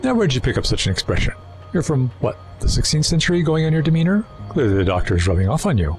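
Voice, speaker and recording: An actor in an audio drama playing a suave, erudite renegade Time Lord villain, wordy, condescending and persuasive. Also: male voice, medium pitch, very animated, fast talker, faint music behind. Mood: teasing, patronising. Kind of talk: full-cast audio drama acting.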